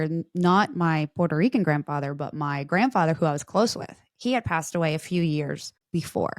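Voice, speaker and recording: Animated woman in her forties telling stories. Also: lowish voice, dry recording, clean studio sound. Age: 40s. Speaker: podcast guest. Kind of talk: casual storytelling chat.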